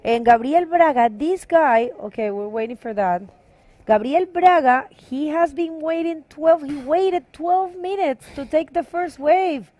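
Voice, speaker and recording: A woman's voice: sits high, slow, mellow, no room sound. A woman from Venezuela with a Venezuelan accent tinged with Canarian, giving bilingual live commentary on a bodyboarding contest and switching between English and Spanish. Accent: Venezuelan Spanish, mixed with Canarian.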